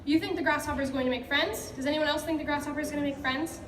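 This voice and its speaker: A woman's voice: high voice, steady tone, rapid-fire. A woman teacher leading an interactive picture-book read-aloud for children, encouraging and prompting with questions. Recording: echoey room, distant mic, background noise.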